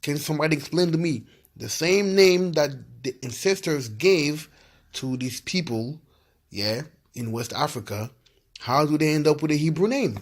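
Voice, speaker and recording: A man's voice: on the high side, animated, normal speed. Man from Ivory Coast reading sources aloud. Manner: loose and repetitive, with frequent "yeah" and "you know what I'm saying" fillers. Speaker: video host. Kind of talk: casual research commentary reading from websites.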